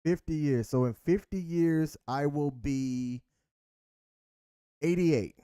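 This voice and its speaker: Easygoing man in his thirties, smooth mellow voice. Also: a little high, slow, no echo, clean studio sound. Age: 30s. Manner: easygoing, laughs at himself. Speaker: co-host. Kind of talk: casual couple chat.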